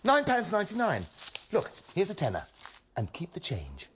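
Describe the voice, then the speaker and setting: A British man playing a flustered, placating university lecturer in a comic radio play, stammering and coaxing in a husky voice. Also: sits high, huge pitch swings, moderate pace, fairly bright tone, slight background noise, heavily acted. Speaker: lead actor in a radio play.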